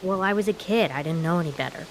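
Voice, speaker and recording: A woman's voice: fairly low pitch, fast, lightly acted, rough recording. An actress playing an exasperated, sarcastic American daughter in her twenties in a comedic audio drama. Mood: placating, impatient. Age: 20s. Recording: faint background hiss.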